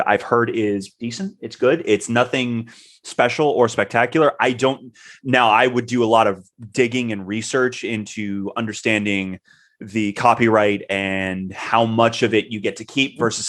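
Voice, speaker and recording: A man's voice: mid-range voice, a bit bright. A man co-hosting a podcast in casual chat, talking loosely with many fillers and self-corrections. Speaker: podcast co-host.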